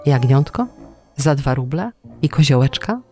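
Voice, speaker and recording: A man's voice: mid-range voice, very animated, a little slow, warm tone, soft background music. A storyteller voicing a greedy, foolish rich man who owns herds and talks in a grudging, blunt way. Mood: grudging, scheming.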